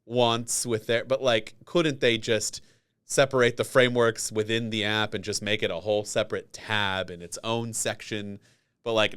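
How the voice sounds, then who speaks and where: A man's voice: lowish voice, fairly bright tone, clear, resonant tone. A man hosting a podcast, chatting in a casual, rambling, conversational interview style. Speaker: podcast host.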